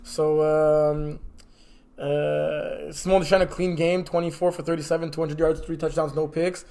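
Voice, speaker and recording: A young man co-hosting a casual sports recap, talking quickly with a confident, teasing edge. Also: fairly high pitch, level tone, vocal fry, mellow. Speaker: co-host.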